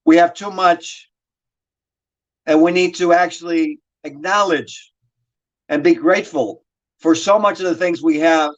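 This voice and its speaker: A man hosting an interview show, reading a long guest introduction in a measured way. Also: fairly high pitch, dull audio. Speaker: show host.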